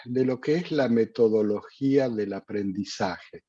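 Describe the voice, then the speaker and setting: A man hosting a radio interview in a measured way with frequent fillers. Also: lowish voice, dark tone, breathy edge, dry recording. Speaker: host. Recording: dull dry lo-fi sound.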